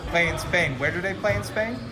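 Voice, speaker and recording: Higher-voiced male interviewer, music behind. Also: brisk. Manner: brief questions with stumbling restarts. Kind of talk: interview questions. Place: basketball camp gym.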